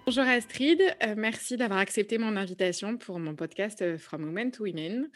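A woman's voice: medium pitch, normal speed, faint music behind. A woman hosting a podcast, speaking informally and feeling her way through her questions in a conversational interview. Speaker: podcast host interviewing a guest.

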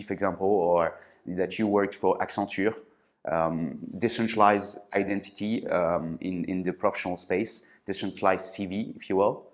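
A man from France, telling his founder story in a casual interview, hesitant, with many fillers and restarts. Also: deep voice. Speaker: podcast guest, startup founder.

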